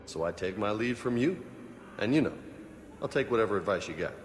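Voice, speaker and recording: A man's voice: on the low side, lively intonation, brisk, lightly acted. A man playing a cocky, eager young marine lieutenant in a video game, casual, swaggering and playful. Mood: cocky, earnest.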